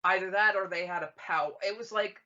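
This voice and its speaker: A man's voice: sits high, fairly quick, bright, forceful tone, highs cut off. A man about thirty hosting a podcast, laughing easily and steering the conversation between his co-hosts. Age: 30s. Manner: laughing, moderating, steering the talk. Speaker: podcast host. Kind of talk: casual chat.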